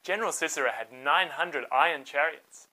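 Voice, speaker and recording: A woman narrating a scripted children's Bible story in a dramatic, expressive way. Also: sits low, normal speed, low-quality recording.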